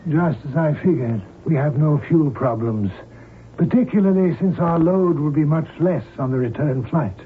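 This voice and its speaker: An actor playing a fanatical, pompous cult preacher and hijacker in an old-time radio drama, speaking in pious scriptural phrases. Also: male voice, fairly high pitch, lively intonation, normal speed, close to an everyday voice. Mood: commanding, smug. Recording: tinny, band-limited old radio recording, hissy.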